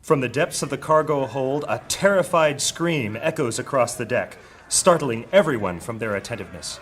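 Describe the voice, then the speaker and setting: A man narrating a high fantasy audio drama with dramatic storytelling delivery. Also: expressive, normal speed, a bit bright, slight background noise, lightly acted. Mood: dramatic, grave. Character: male narrator of dark high fantasy adventure.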